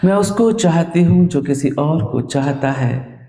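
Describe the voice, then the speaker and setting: A man reciting his own poetry in a dramatic, emotive style, with deliberate delivery. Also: fairly high pitch, animated, warm tone. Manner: emotive, deliberate, with repeated refrains. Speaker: poet reciting own work. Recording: roomy echo, distant microphone.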